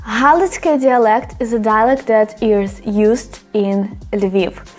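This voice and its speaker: A young woman with a Ukrainian accent teaching vocabulary to camera, her voice pitched a little high. She speaks very slowly and deliberately, repeating each word for her learners. She is recorded on a small clip-on mic in a small room, with faint music underneath. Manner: slow, careful, repeats words for learners. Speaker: language teacher. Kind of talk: online language lesson. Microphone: small clip-on mic on her top.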